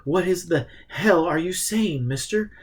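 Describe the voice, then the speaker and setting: A man's voice: fairly high pitch, lively intonation, unhurried, husky, pitched above the performer's own voice. A man voicing a big, hot-tempered man in an audiobook, blunt and confrontational out of fear. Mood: scared anger. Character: hot-tempered, big male narrator-hero, scared and fierce.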